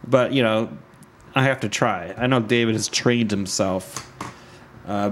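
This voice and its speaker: A man's voice: mid-range voice, fairly bright tone. A man casually chatting as a podcast host, joking, swearing freely and laughing often.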